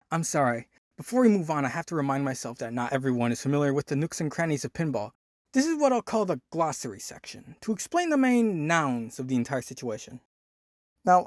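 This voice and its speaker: A man hosting a video essay, narrating from a script with wry, conversational asides. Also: medium pitch.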